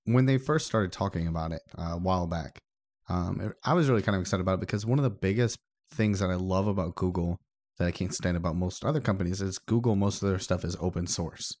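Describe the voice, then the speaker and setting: A man's voice: low voice, quick pace, a little mellow. A man co-hosting a podcast, discussing tech casually in a conversational, explanatory way. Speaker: podcast co-host.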